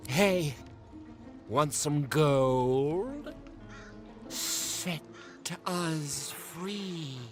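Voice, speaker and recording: Mad caged monster, very slow drawn-out words, wheedling, desperate, erratic, fantasy video game. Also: medium pitch, lively intonation, over music. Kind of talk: video game creature acting.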